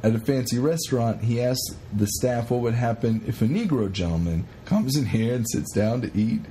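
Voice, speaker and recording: A man co-hosting a podcast, chatting casually in an animated, rambling, sweary way as he retells stories. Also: medium pitch, normal speed, slight background noise. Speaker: podcast co-host. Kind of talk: casual chat retelling a historical story.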